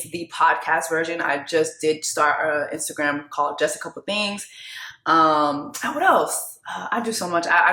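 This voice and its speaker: A woman's voice: fairly low pitch, pushed and bright, echoey room, rough recording. A young adult woman from Canada, a native Haitian Creole speaker, chatting casually and loosely to her viewers as a vlogger and TV co-host. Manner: chatty, loose, self-deprecating, rambling asides. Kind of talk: casual chat to camera.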